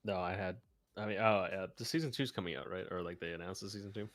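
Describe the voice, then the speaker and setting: A man with a low voice chats casually as a livestream co-host. His recording is dry, free of room sound. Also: quick pace, fairly bright tone, dry recording. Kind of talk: casual chat.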